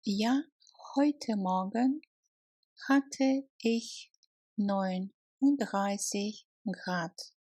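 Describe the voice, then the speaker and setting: Very slow-speaking woman, language lesson narration. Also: medium pitch, warm tone, no echo. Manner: deliberate, clearly separated words for learners. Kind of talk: slow, scripted language lesson phrases with repetition.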